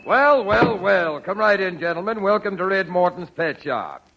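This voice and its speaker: An actor playing a genial, patronizing shop owner, hearty and formal, in a 1940s radio comedy. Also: male voice, high voice, big pitch swings, normal speed, creaky, fairly bright tone, a little hiss or hum, exaggerated voice. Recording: dull 1940s radio recording.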